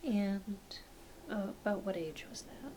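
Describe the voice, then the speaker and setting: A soft-spoken woman, often dropping into a whisper, gently playing a reassuring doctor in an ASMR roleplay. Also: mid-range voice, expressive, unhurried. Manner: gentle, reassuring, hesitant questioning. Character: kindly, attentive doctor examining a patient. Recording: hissy close home recording.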